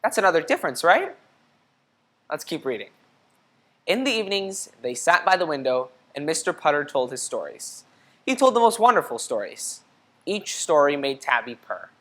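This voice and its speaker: A woman with a low-pitched voice reads a picture book aloud for children. She is expressive and teacherly, moving between narration and questions put to her listeners. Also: moderate pace. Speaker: storybook reader.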